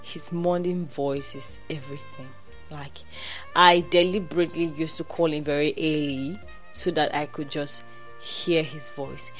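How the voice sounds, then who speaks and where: A young woman with a Nigerian accent speaks in a low, deep register as she chats with a friend, winding through her stories with restarts and quick asides. Soft music plays faintly in the background. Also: steady tone. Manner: chatty storyteller, many restarts and quoted voices. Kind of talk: casual chat.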